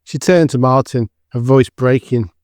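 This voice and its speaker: A man's voice: medium pitch, unhurried, a little mellow, no room sound. A man giving scripted dramatic narration for an audio drama.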